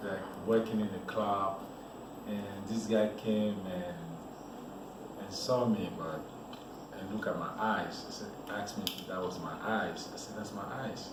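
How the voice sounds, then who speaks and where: A man from Cameroon, a model telling his life story in a relaxed, rambling way as an interview guest. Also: on the low side, unhurried. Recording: echoey room, voice from a distance, noisy background.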